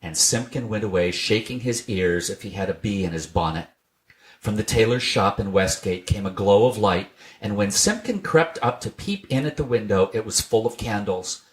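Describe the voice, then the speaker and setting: A man narrating a children's storybook in an expressive, scripted reading style. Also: fairly low pitch, moderate pace. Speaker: storybook reader.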